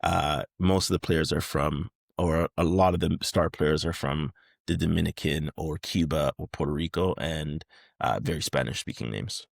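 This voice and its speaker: A man's voice: very deep voice, normal speed, creaky, no echo, clean studio sound. A man in his thirties from Canada, speaking as a guest in a casual interview, hesitant with many fillers and restarts. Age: 30s.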